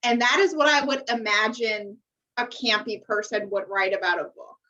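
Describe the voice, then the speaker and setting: A woman's voice: fairly high pitch, normal speed, pushed and bright, highs cut off. An American woman co-hosting a podcast in casual chat, with a dry, teasing, sarcastic way of talking. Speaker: podcast co-host. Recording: slightly distant microphone sound.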